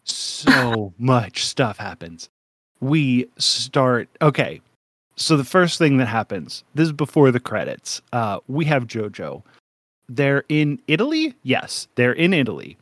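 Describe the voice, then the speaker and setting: A man's voice: mid-range voice, very animated, takes it slowly, no echo. A young man co-hosting a podcast in casual chat, jokey and rambling, laughing often. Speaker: podcast co-host.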